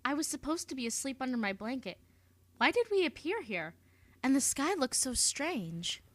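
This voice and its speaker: A woman's voice: sits high, very animated, normal speed, no echo. A woman voicing a headstrong teenage schoolgirl who sounds bewildered and questioning, in a fan audiobook. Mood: uneasy, bewildered. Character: headstrong teenage schoolgirl, bossy but shaken.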